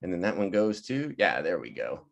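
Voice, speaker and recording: A man telling anecdotes casually on a video call, lively and quick to act out what other people said. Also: deep voice, gravelly. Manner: animated, laughing often, acting out quoted people. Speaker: playwright and director leading a virtual table read. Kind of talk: casual, anecdotal storytelling chat. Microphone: video call microphone.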